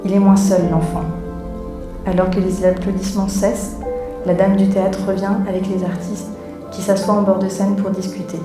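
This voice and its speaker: A woman's voice: mid-range voice, little pitch movement, moderate pace. Woman speaking in an echoey room, music behind. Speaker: theatre director welcoming an audience. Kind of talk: live welcome speech and read-aloud story. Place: theatre auditorium, before an audience. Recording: roomy echo, music underneath.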